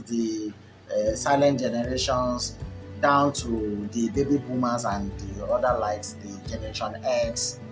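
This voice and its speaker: A man, a Yoruba speaker, delivering a rambling, preachy monologue full of fillers and restarts. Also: medium pitch. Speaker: commentator. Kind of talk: unscripted monologue to camera.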